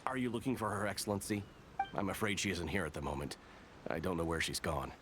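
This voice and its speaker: A man's voice: low voice, fairly even intonation, fast talker, natural voice. A man voicing an earnest, by-the-book soldier in a video game, formal, precise and officious. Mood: dutiful. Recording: noisy game ambience behind the voice.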